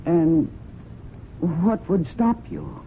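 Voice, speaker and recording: A woman's voice: lowish voice, wildly swooping pitch, drawn-out words, heavily acted. Anxious young woman, vividly intoned. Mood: desperate, pleading. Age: young adult. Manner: earnest, pleading, guarded. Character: anxious, defensive young woman cornered by a blackmailer. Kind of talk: radio drama acting. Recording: muffled, noisy old radio broadcast recording.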